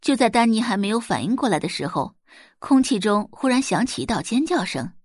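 A woman's voice: fairly high pitch, sing-song, brisk, a little mellow, husky, no echo, studio quality. A woman narrating a scripted audio drama as a storyteller.

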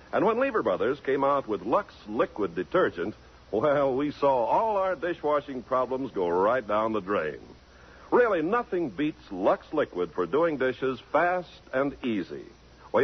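American guy doing old 50s radio announcer ads, really raspy, dull lo-fi sound. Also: mid-range voice. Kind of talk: 1950s radio announcing and folksy scripted ad reading. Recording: muffled 1950s radio broadcast.

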